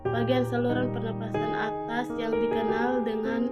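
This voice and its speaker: A woman's voice: a little high, flat delivery, over loud music. A young woman, a nursing student, reading a health lesson and often stumbling and restarting words. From Indonesia. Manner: halting, frequent restarts on words.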